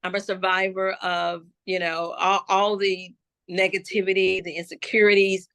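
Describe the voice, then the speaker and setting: A woman from Florida shares her personal testimony as an interview guest. Her voice is bright and forward, and she speaks slowly and thoughtfully, often pausing and restarting. The sound is close and dry. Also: mid-range voice, little pitch movement, clean tone, dry recording. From Jacksonville, Florida. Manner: slow, reflective, with many restarts and fillers. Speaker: gospel hip-hop artist guest.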